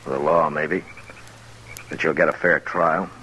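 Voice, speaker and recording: An American actor playing a weary, firm frontier marshal in an old radio western, terse, measured and authoritative. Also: male voice, rumbling low, fairly even intonation, pushed and bright, exaggerated voice. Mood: dry, firm. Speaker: lead actor and narrator. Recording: noisy vintage radio recording.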